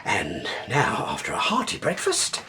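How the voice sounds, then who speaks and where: A man's voice: mid-range voice, wildly swooping pitch, measured pace, sharp tone, exaggerated voice. An actor playing an anxious, scheming bank clerk and family man in a period audio drama, speaking formally and self-importantly. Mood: jubilant, pompous. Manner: formal, old-fashioned, self-important phrasing.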